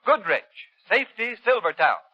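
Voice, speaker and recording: A man's voice: high-pitched, big pitch swings, moderate pace, slightly rough. A man announcing in a dramatic, emphatic, carefully enunciated 1930s radio style. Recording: dull lo-fi 1930s radio, faint music.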